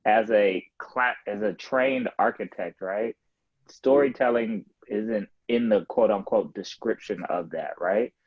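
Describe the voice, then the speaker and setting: A man's voice: fairly low pitch, a little slow, dry recording. A man hosting an interview over a video call, asking probing questions and often checking in with "right?". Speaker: interview host. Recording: tinny, dry phone-like call audio.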